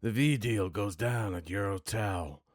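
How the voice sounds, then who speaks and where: Male, deep register, dry noir narrator and self-musing game commentator, tight dry recording. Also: a little slow, dry recording. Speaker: video creator practicing a character voice.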